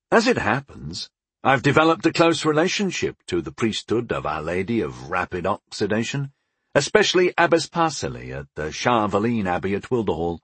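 A man voicing a portly, proud older baker father in an audiobook, gruff and resolute, explaining things without hurry. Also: lowish voice, a bit bright, dry recording, heavily acted. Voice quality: gruff, grumbling. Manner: unhurried, explaining, homespun wisdom. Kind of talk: audiobook character dialogue.

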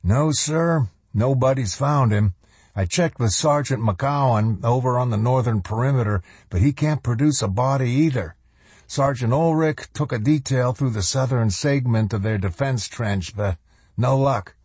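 A man's voice: medium pitch, measured pace, a little mellow, slightly rough, no echo, pitched above the performer's own voice. A man voicing a hardened but deferential military subordinate giving clipped reports in an audiobook story. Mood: dutiful.

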